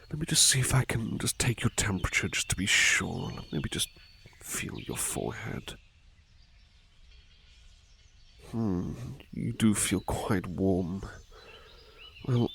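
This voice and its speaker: Low, creaky, gentle manservant, acted by a man. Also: a little hiss or hum. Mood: caring, concerned. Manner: gentle, deferential, hesitant, repeats himself reassuringly. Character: devoted, fussing male servant tending a sick master. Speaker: roleplay performer. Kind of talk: soft-spoken ASMR roleplay.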